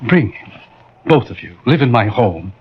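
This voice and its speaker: An actor playing a grieving older widower in a 1940s radio thriller, clipped and insistent. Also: male voice, mid-range voice, big pitch swings, measured pace. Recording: dull 1940s radio broadcast.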